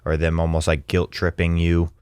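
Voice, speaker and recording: A man with a very deep, smooth and resonant voice hosts a podcast in a relaxed, casual way, often filling pauses with ums and likes. The sound is close and dry, with no room around it. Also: dry recording. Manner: easygoing, lots of ums and likes. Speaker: podcast host.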